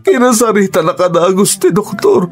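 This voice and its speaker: A man playing a distraught father who haltingly delivers grave news in an Ilocano radio drama. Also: sits high, very animated. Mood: grave, shaken. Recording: radio drama with music underneath.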